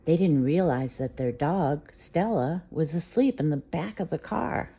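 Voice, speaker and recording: A woman reading a bedtime story aloud to a child, gentle and unhurried. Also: low-pitched, lively intonation, creaky, breathy edge.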